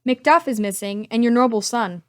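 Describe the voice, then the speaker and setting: A woman's voice: fairly high pitch, a little fast, clean tone, no room sound, close to an everyday voice. A woman playing a gracious, authoritative young king in an amateur Shakespearean dramatic reading. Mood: concerned. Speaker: amateur reader in library staff dramatic reading.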